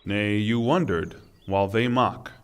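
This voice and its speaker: A man reading a scripture translation in a formal, solemn and deliberate way. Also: lowish voice, clean tone, big-room sound. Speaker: reader of Quran translation.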